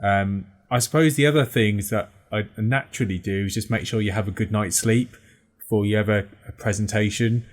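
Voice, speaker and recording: A man in his forties with a fairly low voice talks over a headset on a video call from home. He explains practical tips in a relaxed, step-by-step way, with the odd "um" along the way. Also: brisk. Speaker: podcast co-host. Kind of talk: casual video podcast chat. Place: home room, indoors.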